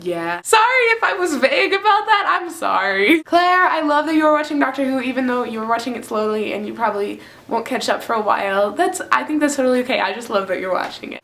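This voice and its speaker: A woman's voice: high-pitched, gravelly. A young woman from France, chatting casually to camera at home in a bubbly, talkative way. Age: young adult. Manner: bubbly, chatty, quick bursts of excitement. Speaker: vlogger.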